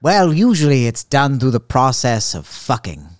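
A man voicing a male Frankenstein-style monster with a very low voice, speaking slowly and deadpan with overly proper wording. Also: dry recording, studio quality, lightly acted. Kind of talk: improvised comedy podcast character.

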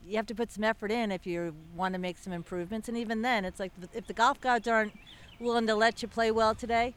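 A woman's voice: a little high, fairly even intonation, quick pace, smooth. A woman, a guest on a golf podcast, talking in an easygoing, encouraging way. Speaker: guest, avid golfer. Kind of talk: casual interview answers.

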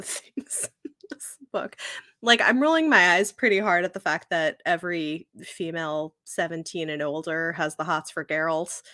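A woman's voice: lowish voice, pushed and bright, dry recording, clean studio sound. A woman co-hosting a podcast, chatting casually about books. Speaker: podcast co-host. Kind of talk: casual book discussion chat.

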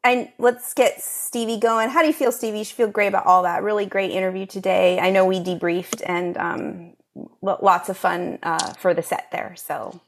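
A woman hosts improv with casual chat. Her voice sits at a middle pitch and has a noticeable creak to it. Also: steady tone, normal speed. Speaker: improv show host.

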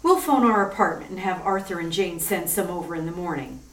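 A woman playing a playful, sharp-witted detective's wife in a retro radio play, with a coy, bantering delivery. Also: on the low side, lively intonation, a little fast. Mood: flirty. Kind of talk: 1940s radio acting. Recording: echoey room, far from the mic, faint hiss.

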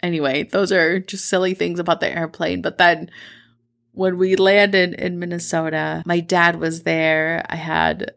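A woman's voice: lowish voice, little pitch movement, a little slow, vocal fry. A woman in her thirties, born in Colombia, hosting a podcast and telling personal stories in a reflective, chatty way. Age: 30s. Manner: warm, reflective, chatty with fillers. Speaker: podcast host. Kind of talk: personal storytelling monologue.

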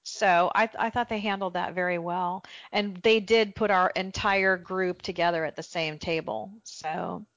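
A woman co-hosting a casual podcast as a travel agent, chatty and animated, laughing easily. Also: fairly low pitch, unhurried, hardly any pauses, no room sound. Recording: dull, dry podcast audio.

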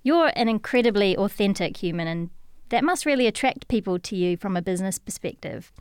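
A woman's voice: mid-range voice, expressive, fairly quick, darkish tone, smooth, no echo. A woman with a New Zealand accent hosting a podcast interview in a studio, chatty and teasing in a friendly way. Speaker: podcast host.

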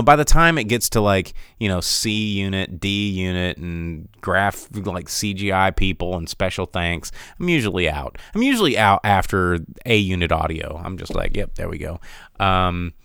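A man's voice: on the low side, fairly bright tone, clear, resonant tone, dry recording, studio quality. A man hosting a podcast, giving a casual, rambling run-through of weekend box office numbers with many fillers and restarts. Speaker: podcast host.

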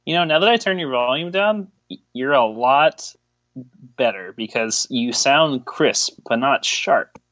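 A man's voice: medium pitch, animated, measured pace, a bit bright, no room sound. Joking, rambling man in casual chat. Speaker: podcast co-host.

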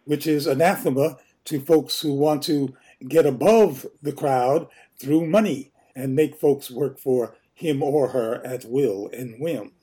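A man co-hosting a radio show, putting points to a guest in a measured, deliberate way. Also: mid-range voice, dark tone, breathy edge. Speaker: radio co-host. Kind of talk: interview question.

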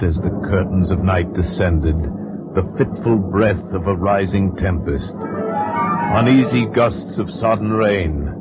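An anxious, bookish gentleman narrating gothic horror in a low voice. His delivery is formal, measured and theatrical, in the manner of old radio drama. The vintage 1940s recording is muffled, with music underneath.

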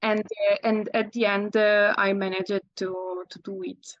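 A woman in her thirties with an Italian accent, answering interview questions in halting English with many restarts. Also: mid-range voice, flat delivery, no echo, muffled recording. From Italy. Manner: halting, many restarts and fillers, laughs often. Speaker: guest, landscape photographer.

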